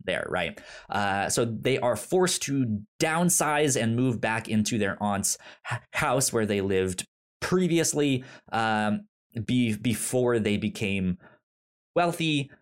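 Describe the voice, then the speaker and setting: Slow, halting man co-hosting a chat. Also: mid-range voice, lively intonation. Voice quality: halting, many stammered restarts and fillers. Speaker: podcast co-host. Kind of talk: casual chat.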